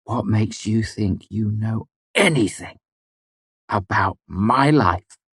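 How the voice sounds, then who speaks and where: A sharp-tongued young woman bard, pitched high and pushed loud, her words scornful and heated, as a male audiobook narrator puts her on. The recording is dry and close. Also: lively intonation, slow, breathy edge, no room sound, heavily acted. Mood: furious.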